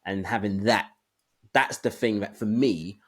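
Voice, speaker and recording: A man's voice: fairly low pitch, a little slow, fairly bright tone. A man co-hosting a casual podcast discussion, thinking aloud hesitantly in broken phrases. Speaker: podcast co-host.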